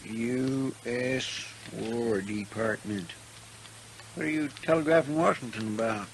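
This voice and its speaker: A folksy, earnest rural American western deputy. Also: male voice, mid-range voice, slow pace, rough recording. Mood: puzzled, obliging. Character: loyal, simple, folksy western deputy, earnest and fretful man. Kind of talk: 1950s western radio drama acting. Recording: hissy 1950s radio recording.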